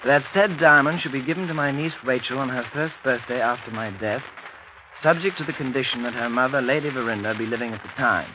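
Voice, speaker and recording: An actor playing a courteous, thoughtful young English gentleman in old-time radio drama, speaking in a polite, measured, reflective way. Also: medium pitch, background noise, close to an everyday voice. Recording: muffled vintage radio recording.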